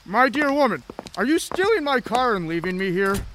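A man's voice: high voice, big pitch swings, normal speed, very noisy. A man playing a chatty, genteel, easily flustered older motorist in an audio drama, courteous and old-fashioned. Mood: indignant, helpless.

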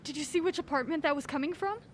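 A woman's voice: fast, lightly acted. An actress playing an impulsive, indignant woman caught in a mystery game in an audio drama. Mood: curious. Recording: noisy recording with rumble.

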